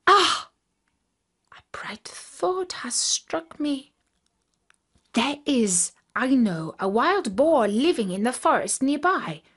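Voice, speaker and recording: Woman acting a plaintive old monkey, slow-spoken. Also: on the high side, voice pushed up, full character voice. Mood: hopeful. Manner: plaintive, theatrical lamenting. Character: anxious old performing monkey, male, pleading and flattering.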